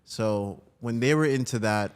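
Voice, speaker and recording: A man's voice: mid-range voice, unhurried, clear, resonant tone. A man from New Jersey talking casually as an interview guest about his own work. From New Jersey, United States. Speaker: podcast guest, breathwork coach.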